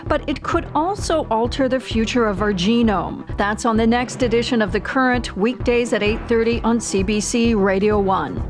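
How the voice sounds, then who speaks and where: A woman's voice: fairly high pitch, moderate pace, hardly any pauses. A woman radio host reading a polished, scripted promo. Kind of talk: promo read. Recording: music bed behind.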